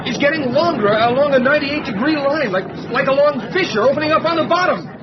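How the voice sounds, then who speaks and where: A man's voice: extremely high pitch, expressive, quick pace, noisy background. A man acting an alarmed submarine officer in a radio drama, giving urgent, clipped reports of danger. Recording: muffled radio recording under loud music.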